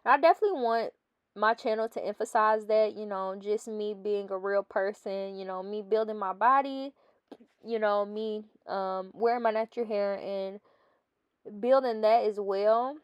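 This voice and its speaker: A woman's voice: medium pitch, moderate pace, clean tone, dry recording, dull audio. A young woman with a stuffy nose, chatting casually as a fitness vlogger over her workout footage and often calling her viewers "guys.".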